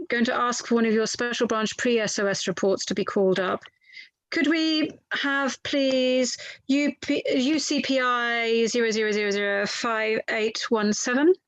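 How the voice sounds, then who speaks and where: Woman reading as polite inquiry lawyer, higher-pitched, dry sound. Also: no echo, close to an everyday voice, poor audio. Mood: polite, methodical. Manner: courteous, methodical, slightly halting reading. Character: formal, polite inquiry lawyer questioning a witness. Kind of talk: verbatim transcript reading.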